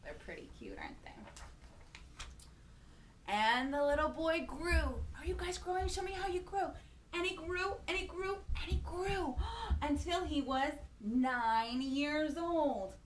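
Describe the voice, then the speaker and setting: A woman with a high voice reads a picture book to young children as a storytime host. She is expressive and animated, with gasps and questions for the little ones. The room is echoey, with faint noise behind her. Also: unhurried.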